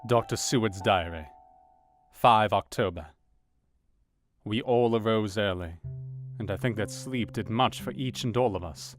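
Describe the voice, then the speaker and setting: A man playing a thoughtful Victorian doctor who narrates his diary in a measured way over music. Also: on the low side, lightly acted. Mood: reflective. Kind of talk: audio drama reading.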